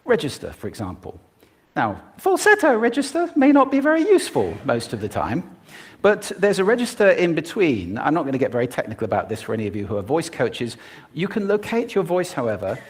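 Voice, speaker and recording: Brief: a male stage speaker with a lively, swooping delivery who demonstrates his techniques. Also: fairly high pitch, fairly quick, breathy edge. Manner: expressive, demonstrating vocal techniques. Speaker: public speaker. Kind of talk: prepared talk on stage.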